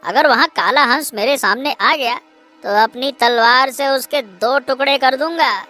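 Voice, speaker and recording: A woman's voice: high-pitched, unhurried, pushed and bright, faint music behind. A woman playing a boastful, scornful young merchant's son in a kids' cartoon story. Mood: boastful mockery. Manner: swaggering, scornful.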